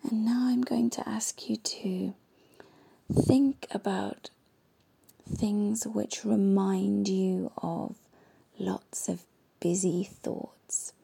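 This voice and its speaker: Slow, soft-whispering meditation woman. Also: medium pitch, steady tone, creaky, slightly rough. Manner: gentle, slow and soothing instructions. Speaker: meditation guide. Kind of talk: guided meditation.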